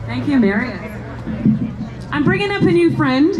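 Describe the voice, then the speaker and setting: A woman emceeing a street rally, quickly introducing the next speaker. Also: sits high, big pitch swings, over loud music. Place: outdoor downtown street protest. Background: faint crowd.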